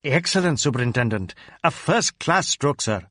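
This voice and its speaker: A man's voice: mid-range voice, animated, moderate pace, fairly bright tone, dry recording, studio quality. A man playing a deferential, hesitant police inspector in an audio crime drama. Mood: obedient. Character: meek, deferential male Indian police inspector.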